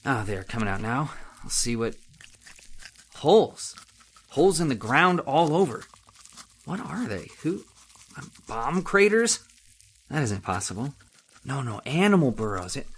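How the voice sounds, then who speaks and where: An actor playing a cold, condescending middle-aged scientist husband in an old-time radio horror drama. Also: male voice, mid-range voice, very animated, a little slow, long pauses, slightly rough. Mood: uneasy, puzzled. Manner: condescending, stern, impatient.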